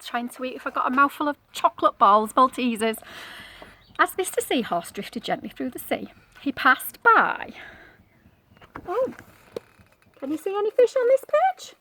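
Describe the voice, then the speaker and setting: A woman reads a picture book aloud to young children in a high, bright voice with big swoops of pitch. She is expressive and coaxing, breaking off for questions and exclamations. There is faint noise behind her. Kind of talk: animated storybook read-aloud with asides to children.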